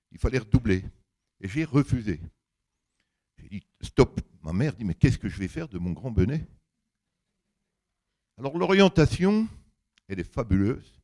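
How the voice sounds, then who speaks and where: An older man with a big voice giving an anecdotal public talk, colloquial and emphatic, acting out bits of dialogue as he tells it. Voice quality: big deep voice. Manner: animated storytelling, colloquial, emphatic, acts out reported dialogue.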